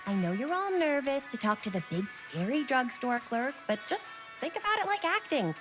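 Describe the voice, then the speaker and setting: An actress playing a wry, driven young amateur filmmaker with an American accent in a video game, teasing and emphatic. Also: female voice, high-pitched, sing-song, moderate pace, over music. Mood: playful, coaxing. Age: young adult. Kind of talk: naturalistic video game acting.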